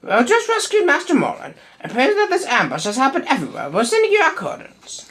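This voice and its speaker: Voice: sits high, wildly swooping pitch, fast talker, fairly bright tone, pitched above the performer's own voice, exaggerated voice. A performer voicing an earnest male senator with a formal, dramatic manner in a space parody audio play. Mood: urgent.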